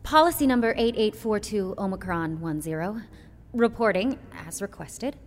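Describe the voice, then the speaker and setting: A woman's voice: medium pitch, expressive, normal speed, natural voice. A woman playing a meek, dutiful office clerk in an audio drama, hesitant and apologetic. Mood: dutiful, nervous. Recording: echoing hall, faint noise.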